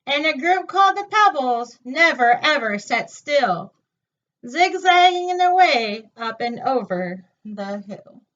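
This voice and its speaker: High-voiced woman reading slowly, far from mic. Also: sing-song, a bit bright. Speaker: storytime reader. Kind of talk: children's picture book read-aloud. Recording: dull, lo-fi, distant microphone.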